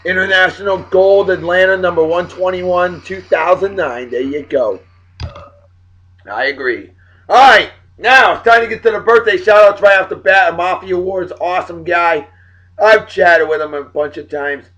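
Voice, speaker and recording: A hoarse middle-aged man with a New England accent talks to his viewers in a chatty, hyped-up way, clearing his throat now and then. His voice sits a little high. The recording is muffled and lo-fi. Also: moderate pace, fairly bright tone, slight background noise. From Massachusetts. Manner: upbeat, hype-filled and chatty, with throat clearing. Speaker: video blog host. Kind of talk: casual beer review vlog.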